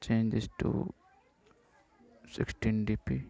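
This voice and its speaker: Slow, flat, gravelly male tutorial voice. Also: fairly low pitch. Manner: slow, flat dictation of code steps with "uh" fillers. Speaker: coding tutorial presenter. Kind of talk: screen-recorded coding walkthrough.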